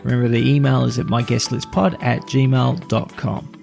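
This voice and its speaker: A man's voice: medium pitch, steady tone, moderate pace, vocal fry, darkish tone, faint music behind. A man hosting a podcast, reading a scripted review in a presenter's style.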